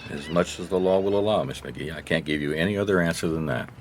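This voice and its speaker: An actor playing a gruff, world-weary private investigator in a radio drama, dry and terse. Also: male voice, low voice, fast, slight background noise, lightly acted. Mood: businesslike.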